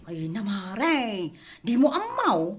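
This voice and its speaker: A woman's voice: medium pitch, huge pitch swings, slow pace, breathy edge, full character voice. A woman acting a gossipy village neighbour in an Ilocano comedy radio drama, with a Filipino Ilocano accent. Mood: gossiping.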